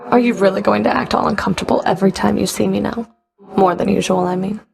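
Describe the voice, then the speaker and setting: A stern underworld Fury from a video game, her voice heavy with vocal fry at an ordinary female pitch. She is curt, dry and sardonic, giving orders with guarded, grudging authority. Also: fairly even intonation, fast, over music.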